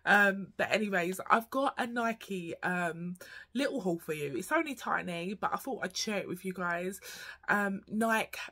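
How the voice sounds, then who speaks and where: A woman in her thirties vlogging casually to camera from her bedroom, sounding congested and quiet from a cold, chatty with many ums. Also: medium pitch, animated, measured pace. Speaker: YouTube fashion vlogger. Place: bedroom at home, indoors. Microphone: camera at close range.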